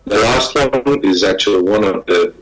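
A man from Denmark, speaking as a guest on a panel over a video call, halting and repeating words as he talks. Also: lowish voice, a bit bright, rough recording. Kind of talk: remote panel talk.

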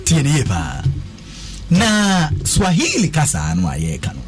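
Lively man explaining. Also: fairly high pitch, normal speed, soft background music. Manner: explains patiently, teacher-like. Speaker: show host. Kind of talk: casual studio banter.

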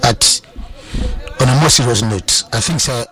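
A man's voice: mid-range voice, a little slow, over music. A man with a Ghanaian accent giving football analysis on a sports talk show, hesitant, with many fillers and restarts, switching into Twi. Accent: Ghanaian English.

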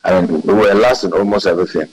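Man, middle-aged, member of parliament, hoarse, very deep voice, emphatic. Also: steady tone, quick pace, darkish tone, rough recording. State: hoarse, lost voice.

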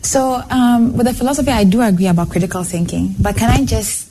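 A young woman guest sharing her own story on a talk show, earnest and animated, her phrases tumbling out with a lot of "like". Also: fairly high pitch, a little fast, slight background noise, low-quality recording.

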